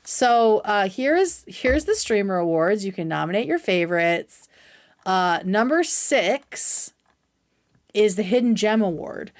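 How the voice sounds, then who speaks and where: A woman's voice: medium pitch, lively intonation, a little slow. Blunt, casual woman livestreamer. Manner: blunt, profane. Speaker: streamer, host. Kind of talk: casual opinionated livestream commentary.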